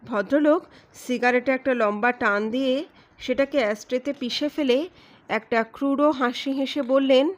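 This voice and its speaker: A woman's voice: high-pitched, normal speed. A woman reading a scripted detective story as an audiobook narrator.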